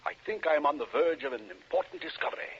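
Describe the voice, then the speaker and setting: An actor playing a solemn, self-important psychic doctor who hunts ghosts, speaking gravely in formal phrases in 1940s radio style. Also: male voice, fairly high pitch, very animated, fast talker, a bit bright, slight background noise. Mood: intent, formal. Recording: muffled 1940s radio recording.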